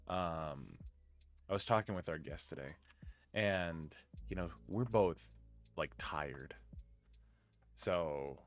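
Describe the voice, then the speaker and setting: A man hosting a live stream in casual chat, groggy from just waking up and rambling in a low-key way. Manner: rambling and low-key, with many filler words. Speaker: streamer and podcast host.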